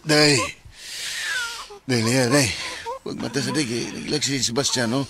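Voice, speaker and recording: A man playing a soft-hearted, pleading young father in a radio drama. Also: mid-range voice, steady tone, a little slow, background noise, lightly acted. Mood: coaxing.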